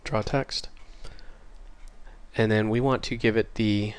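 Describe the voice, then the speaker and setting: A man with a fairly low, slightly congested voice hosts a casual programming tutorial. He talks informally and apologetically, thinking aloud as he works. Typing and mouse clicks are audible behind him. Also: fairly even intonation, slow. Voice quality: slightly congested, stuffy nose. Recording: clear keyboard and mouse clicking noise.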